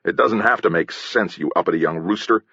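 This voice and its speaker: A man's voice: sits low, fairly quick, sharp tone, husky, dry recording, muffled recording, exaggerated voice. A man voicing a bossy, irritable satirist who directs his actors, curt, scolding and bellowing, in an audiobook. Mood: exasperated. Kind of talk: audiobook character acting.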